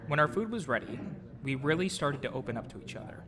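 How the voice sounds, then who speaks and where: A man's voice: a little high, big pitch swings, fast talker, long reverb tail, crowd noise. A man reading a scripted personal story in a reflective storytelling manner, like an audio drama narrator. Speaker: narrator and audio drama producer-actor telling own story. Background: clear music.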